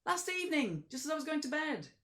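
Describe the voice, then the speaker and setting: A woman's voice: sits high, very animated, quick pace, a bit bright, pitched above the performer's own voice. A woman reading a story voices a male coach driver who answers in a blunt, offhand, stubborn way. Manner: blunt, dismissive.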